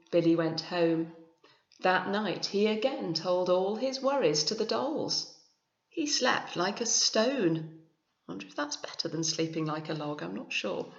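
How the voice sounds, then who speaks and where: A woman reading a picture book to young children like a teacher, expressively, with chatty asides and gentle questions to her listeners. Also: mid-range voice. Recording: echoey room, slightly muffled sound.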